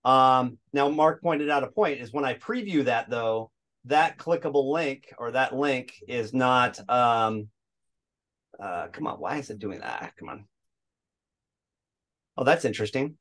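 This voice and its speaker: A man's voice: mid-range voice, expressive, unhurried, a bit bright. Meetup host, chatty man narrating his screen on a video call. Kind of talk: casual video call demo.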